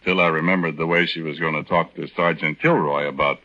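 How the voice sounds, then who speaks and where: A man's voice: rumbling low, fairly even intonation, brisk, pushed and bright. An actor playing an aggrieved young soldier husband who tells his story in a folksy, hesitant, conversational way in a 1940s radio drama. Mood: resentful. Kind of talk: 1940s radio drama first-person narration. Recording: dull old 1940s radio recording.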